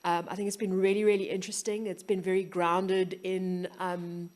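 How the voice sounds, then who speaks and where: A woman's voice: lowish voice, little pitch movement, normal speed, darkish tone. A woman moderating a panel event, polite and hesitant, relaying questions to the guests.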